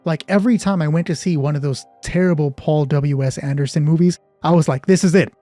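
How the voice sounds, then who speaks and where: A man's voice: a little high, fairly even intonation, brisk, mellow, dry recording, faint music behind. A man narrating a solo tabletop roleplay as game master, thinking aloud in a conversational way.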